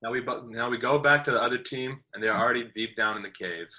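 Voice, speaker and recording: A man's voice: medium pitch, fast talker, sharp tone, rough recording. A man reading scripted narration as the narrator of an amateur table read.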